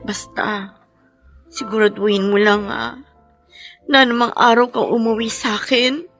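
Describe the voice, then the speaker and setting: A woman's voice: a little high, fairly even intonation, a little slow, fairly bright tone, faint music behind. A Filipino woman playing a loving, long-suffering soldier's wife and new mother in an emotional drama, plaintive yet tender. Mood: resigned, loving. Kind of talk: emotional audio-visual drama acting.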